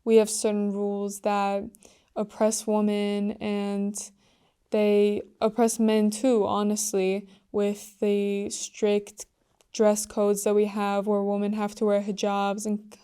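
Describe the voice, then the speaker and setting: Earnest teenage girl, very flat delivery. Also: medium pitch, slow, smooth. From Iran. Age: teens. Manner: earnest, soft-spoken, with frequent "like" and "um" fillers. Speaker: interviewee. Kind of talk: casual interview answers.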